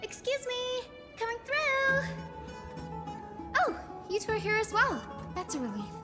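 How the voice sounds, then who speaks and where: A very high, polite young healer girl from a video game. Her earnest voice rings in long hall reverb, with loud music behind it. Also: sing-song, measured pace, exaggerated voice. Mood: flustered, relieved. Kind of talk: scripted video game voice acting.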